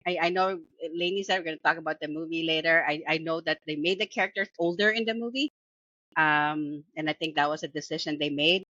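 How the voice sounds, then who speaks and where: A woman with a Filipino accent talks loosely about a book, full of "um"s and restarts, laughing as she goes. Her voice sits a bit low and sounds dull and lo-fi. The recording is close and dry. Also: steady tone, brisk, bright, forceful tone, no room sound. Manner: chatty, full of fillers and restarts, laughs often. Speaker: book podcast co-host. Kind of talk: casual book review chat.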